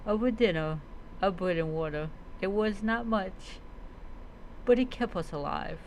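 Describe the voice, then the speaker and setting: A woman telling a story in character, reading aloud haltingly with reminiscing asides. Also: medium pitch, a little mellow, slight background noise, rough recording. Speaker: roleplay streamer.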